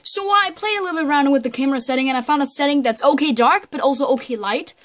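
A young woman introducing her game playthrough in loose, rambling chat. Her voice sits a little high. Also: quick pace. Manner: chatty, rambling, many fillers and restarts. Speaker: gaming channel host. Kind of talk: casual let's play commentary.

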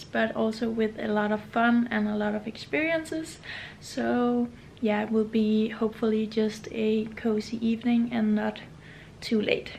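Young woman, travel vlogger, slow and relaxed, gravelly fry in her voice, faint room hiss. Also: fairly high pitch. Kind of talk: casual vlog to camera. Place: hotel room, indoors. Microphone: camera held close or on a stand.

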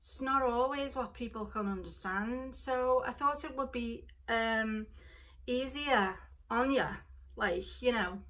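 A woman's voice: fairly high pitch, slow, a bit bright, distant mic. A middle-aged woman from Liverpool, England, speaking with a Canadian accent, hosting a knitting podcast in a casual, digressive way and laughing easily. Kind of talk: casual chat to camera. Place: home craft room, indoors.

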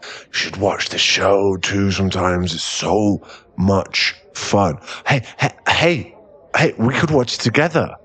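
A man's voice: low voice, fairly bright tone, breathy edge, heavily acted. A man acting a shy, stammering young boyfriend in an ASMR roleplay audio drama. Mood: tipsy, playful. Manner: halting, stammering, repeats words. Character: young male millionaire boyfriend, shy, later tipsy and clingy.

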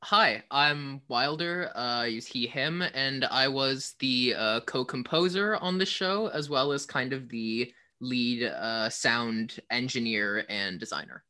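A man's voice: mid-range voice, slow pace, hardly any pauses, pushed and bright. A man in a casual panel discussion, talking in a rambling, hedging, thoughtful way as a sound designer. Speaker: co-composer and sound designer of a theater show.

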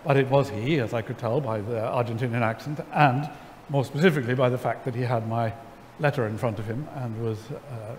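A middle-aged man, seated on stage, telling a story to an audience in a wry, animated way, full of ums and restarts. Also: medium pitch, fairly quick, few pauses, a little hiss or hum. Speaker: lecture guest. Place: large church sanctuary before an audience. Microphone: headset mic. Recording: hall reverb, faint ambience.

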